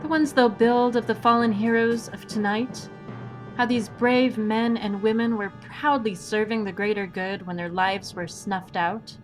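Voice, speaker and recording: A woman's voice: on the high side, fairly even intonation, measured pace, soft background music, lightly acted. A woman playing a courteous, unruffled swashbuckling heroine with a wry, philosophical manner in an audio drama. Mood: wryly musing. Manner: polite, unruffled, wryly philosophical. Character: composed, courteous, witty female masked swashbuckling heroine.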